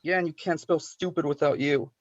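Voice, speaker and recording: An actor at a table read playing a scowling, exasperated young bar buddy with a sardonic manner. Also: male voice, on the high side, fairly even intonation, brisk, no room sound, close to an everyday voice. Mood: sarcastic. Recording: dry, slightly muffled sound.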